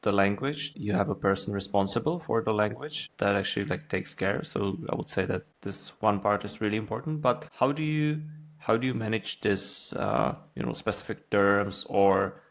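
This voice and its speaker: A man's voice: on the low side, normal speed. A man co-hosting a podcast, asking questions in a casual conversational way. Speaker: podcast co-host.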